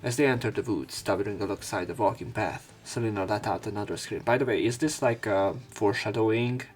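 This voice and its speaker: A man's voice: on the low side, brisk. A man reading a visual novel aloud as a let's play, breaking off into casual, irreverent commentary with some swearing. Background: game music.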